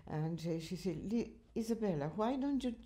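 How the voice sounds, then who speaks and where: An older woman from Poland with a Polish accent, chatting about her volunteer work in an interview, laughing often and speaking in halting, non-native sentences. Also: mid-range voice, lively intonation, dark tone. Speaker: interviewee, cultural association chair. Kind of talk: reminiscing interview answers. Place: living room at home, indoors.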